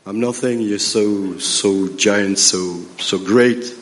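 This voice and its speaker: Halting Belgian man. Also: lowish voice, steady tone, big-room sound. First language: French. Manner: halting, broken English in short phrases. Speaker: congress speaker. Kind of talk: speech with live interpretation.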